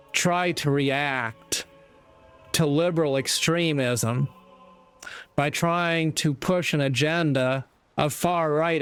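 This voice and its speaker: Male commentator, crisp mid-range voice, halting and deliberate sermonizing opinion delivery over soft background music. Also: a little high. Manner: deliberate, halting phrasing with rhetorical asides. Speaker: commentator delivering a moral monologue. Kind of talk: opinion monologue, preachy commentary.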